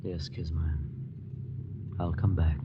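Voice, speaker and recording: A man's voice: extremely low pitch, level tone, takes it slowly, a little hiss or hum. An American actor playing a skeptical, urgent young man in an old radio adventure drama, narrating and speaking in scenes. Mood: weary, subdued. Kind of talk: 1940s-50s radio drama acting. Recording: dull vintage radio recording with music.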